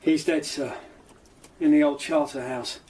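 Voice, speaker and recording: A man acting a rough, cocky Tudor lawyer's man with a fairly high male voice. He talks bluntly, like someone used to threats, in a radio play with faint noise. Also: steady tone, measured pace, darkish tone, distant mic, lightly acted. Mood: blunt, urgent. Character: tough, cocky street-wise Tudor assistant, rough and blunt.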